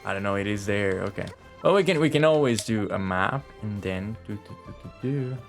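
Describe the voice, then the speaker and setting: A man's voice: fairly low pitch, animated, fairly quick, vocal fry. Casual sarcastic guy streaming a game. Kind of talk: casual play-along commentary. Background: video game music.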